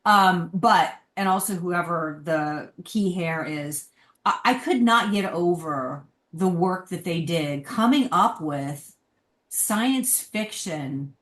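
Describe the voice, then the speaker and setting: An echoey room surrounds this woman's slightly low voice. She talks as a podcast panelist, informal and emphatic, giving her opinions in loose casual conversation. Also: animated, slow, a bit bright. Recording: roomy, echoey sound.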